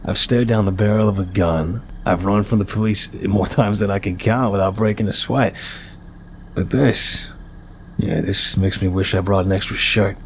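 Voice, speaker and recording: A man's voice: low voice, fairly even intonation, normal speed, a little hiss or hum. A man playing an apologetic, nervous but charming mafia boss in an ASMR roleplay, chatty, wry and flirtatious. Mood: nervous, rambling.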